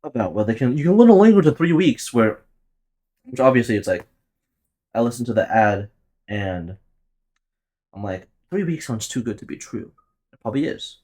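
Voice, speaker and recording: Young man, solo podcast host, loose rambling chatter, casual swearing, mid-pitched voice. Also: sing-song, normal speed, long pauses, darkish tone. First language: Tagalog. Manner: rambling, loose and profane, laughs at his own jokes.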